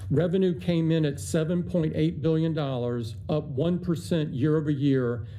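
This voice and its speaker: A man in his sixties presenting quarterly results on an earnings call, measured and upbeat, with some hesitations when answering questions. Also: a little high, warm tone, low-quality recording. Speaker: company chief executive on an earnings call. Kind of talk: scripted earnings remarks, then unscripted answers.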